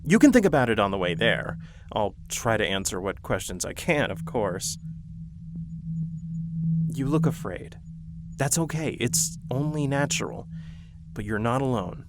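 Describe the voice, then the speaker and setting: A gentle male guide of the dead, as an actor voices him, talks slowly and hesitantly, stumbling over explanations. His pitch moves a lot, and a loud noise bed sits under the voice. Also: big pitch swings. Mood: reassuring. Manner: soft, hesitant, reassuring. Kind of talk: horror audio roleplay.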